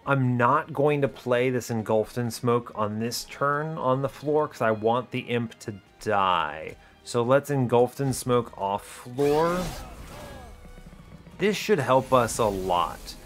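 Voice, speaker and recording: A man giving strategy game commentary as host, thinking aloud in a deliberate, explanatory way and often questioning his own choices. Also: medium pitch, a bit bright. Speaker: gaming video host.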